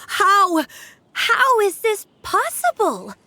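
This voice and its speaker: High animated young woman, earnest game villager, expressive delivery, music underneath. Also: drawn-out words, slight background noise, heavily acted. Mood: amazed. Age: young adult. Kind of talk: video game character acting. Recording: loud music behind the voice.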